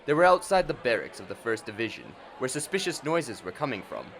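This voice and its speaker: A man's voice: medium pitch, big pitch swings, fairly quick, a bit bright, slight background noise. A man reading scripted story narration for an audio drama.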